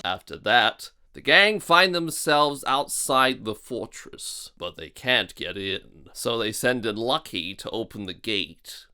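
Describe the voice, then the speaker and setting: A man delivers scripted review narration in a very bright, forceful voice. His pitch moves in a lively way while the pace stays slow and deliberate, with a sardonic edge. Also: smooth.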